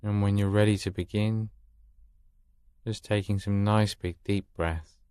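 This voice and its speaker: Male meditation guide: deep register, dry close sound, calm soothing instruction, unhurried gaps between phrases. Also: clean tone, dry recording.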